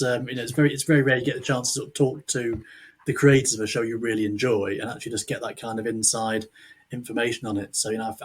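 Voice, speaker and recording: A man's voice: mid-range voice, husky. A man hosting a podcast in a casual interview style, speaking hesitantly but with enthusiasm. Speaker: podcast host.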